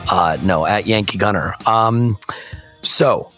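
Hoarse American man hosting a podcast. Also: lowish voice, slow pace, a bit bright, soft background music. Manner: self-deprecating, rambling with restarts. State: hoarse, croaky voice. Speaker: podcast host. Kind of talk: casual podcast chat. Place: hotel room.

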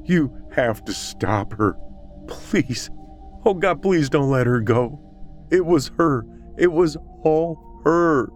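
A man's voice: mid-range voice, steady tone, extremely slow, gravelly, few pauses, a little mellow, soft background music, voice pushed up, exaggerated voice. A man voicing a desperate, hunted man in a horror story, pleading urgently in a strained voice.

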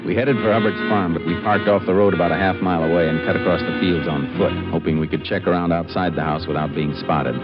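An actor playing a calm, probing Texas Ranger in terse, measured 1950s radio western style, on a scratchy old recording. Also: male voice, low voice, lo-fi sound, over loud music, lightly acted. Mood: watchful.

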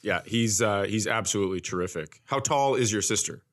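A man co-hosting a comedy podcast with casual, teasing banter and quick interjections. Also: lowish voice.

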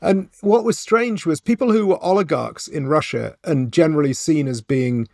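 A middle-aged man from Wales, a journalist and author, telling his story in a relaxed interview with wry asides and fillers. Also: a little high, normal speed, gravelly, dry recording, studio quality. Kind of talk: conversational interview.

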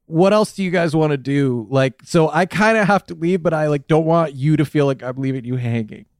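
Joking man on a smooth, dry call. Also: on the high side, a little fast, no room sound. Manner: jokey, rambling, trails off with fillers. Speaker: podcast co-host. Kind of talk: casual comedic chat on a video call. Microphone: video call.

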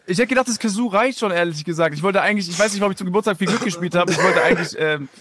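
A hoarse man who is ill, joking and rambling loudly as a game show contestant. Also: sits high, very fast, no echo. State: hoarse from being sick. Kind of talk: casual game show banter.

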